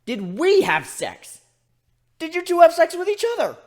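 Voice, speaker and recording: A man's voice: very high voice, huge pitch swings, moderate pace, pitched above the performer's own voice, exaggerated voice. A man voicing an anxious, easily flustered young male griffin in a story reading. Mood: panicked. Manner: dramatic, flustered.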